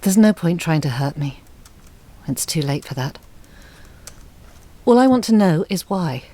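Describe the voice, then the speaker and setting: A woman's voice: low-pitched, animated, a little slow, mellow. An actress playing a weary, wounded mother in an audio drama, speaking in a reflective, plaintive and articulate way. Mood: hurt. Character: weary, wounded married mother, wistful and earnest. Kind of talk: audio drama acting, confessional monologue.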